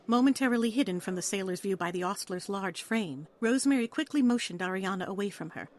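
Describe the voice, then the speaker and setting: A woman's voice: mid-range voice, lively intonation, fast talker. A woman reading a story aloud as narrator, in a dramatic, scripted storytelling style.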